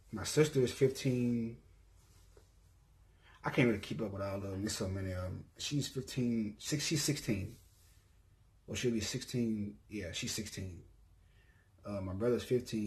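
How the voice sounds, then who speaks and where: A young man from Mississippi speaks with a relaxed Southern accent, in a low-pitched voice. He talks loosely to his viewers and drifts between thoughts. He fills pauses with ums and often corrects himself. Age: 20s. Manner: laid-back, rambling, frequent ums and self-corrections. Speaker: YouTuber. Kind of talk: casual self-introduction vlog.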